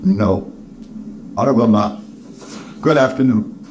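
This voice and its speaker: A man acts out a cold-hearted old miser from a storybook. He is curt and sneering, with a mellow, dark edge to the voice. Noticeable noise sits behind the recording. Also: fairly low pitch, slow pace, dull audio. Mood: stern, curt.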